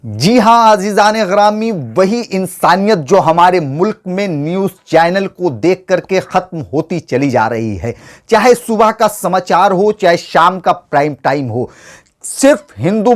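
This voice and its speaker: A man's voice: high voice, lively intonation, measured pace. A man hosting a political commentary show, speaking to viewers in an earnest, rhetorical and formal oratorical way. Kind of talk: scripted news commentary addressed to viewers.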